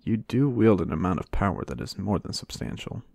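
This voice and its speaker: A man playing a reserved, dry-witted American knight in a fantasy ASMR roleplay, speaking in measured, formal banter. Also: low voice, expressive, gravelly, darkish tone, no echo, full character voice. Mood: wry.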